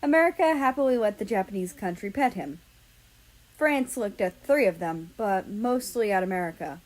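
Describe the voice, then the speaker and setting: A woman's voice: mid-range voice, lively intonation, normal speed, poor audio. Woman reading a story aloud. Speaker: fan fiction reader. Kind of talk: storybook-style narration with acted dialogue.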